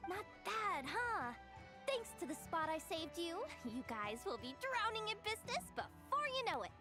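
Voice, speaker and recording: Cocky girl hustler for a game, high bouncy voice, slangy, music behind. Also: sing-song, normal speed. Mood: smug, upbeat. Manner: breezy, slangy, boastful. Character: pushy, self-pleased young girl hustler. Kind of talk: animated video game dialogue.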